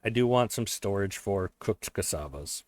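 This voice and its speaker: A man thinking aloud while he plays a strategy game, his voice a little hoarse, often correcting himself as he goes. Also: on the low side, expressive, moderate pace, a little mellow, dry recording. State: voice slightly hoarse. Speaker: let's play host. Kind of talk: casual gameplay commentary.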